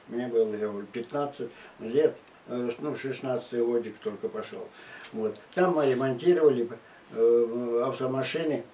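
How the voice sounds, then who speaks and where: A man in his nineties from Russia, slowly and haltingly recounting his life story in an interview. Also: mid-range voice, darkish tone. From Tula region and Moscow outskirts, Russia. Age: 92, elderly. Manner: halting, unhurried, with restarts and pauses. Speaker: war veteran interviewee. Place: living room at home, indoors. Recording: distant mic, faint room noise.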